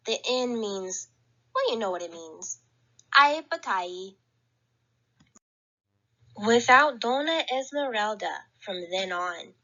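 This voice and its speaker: A woman's voice: fairly high pitch, bright, forceful tone, highs cut off. A woman reading a picture book aloud to children at story time, playfully acting out sounds and exclamations. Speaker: library storyteller.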